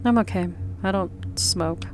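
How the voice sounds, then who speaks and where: A woman's voice: medium pitch, measured pace, darkish tone, faint music behind, lightly acted. A woman voicing a polite, reserved rookie police officer in a visual novel, plain and a little hesitant. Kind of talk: visual novel dialogue read aloud. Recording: clear background noise.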